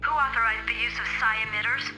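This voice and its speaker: A woman plays an outspoken, fiery lieutenant in a sci-fi game. Her voice is high and urgent, pushing forcefully over clear background music. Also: steady tone, fast, natural voice, rough recording. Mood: outraged. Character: fiery, outspoken young female military lieutenant. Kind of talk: dramatic video game voice acting. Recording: music behind the voice.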